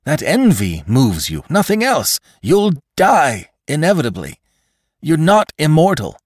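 Storybook role, done by a male narrator: sensible man pleading by logic, higher-pitched, insistent, very dry sound. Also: wildly swooping pitch, a little slow, breathy edge, dry recording, exaggerated voice. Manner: insistent, articulate reasoning. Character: logical, reasonable man pleading for his life.

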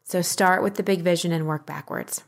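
Woman, slightly low voice, life coach and podcast host, encouraging, instructive, explanatory talk. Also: steady tone, normal speed.

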